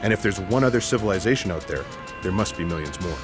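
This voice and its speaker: A man's voice: sits low, animated, fast. A man playing a disillusioned, wry ex-secret agent, dry and wordy, in a comic sci-fi audio drama with music behind. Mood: wry, indignant.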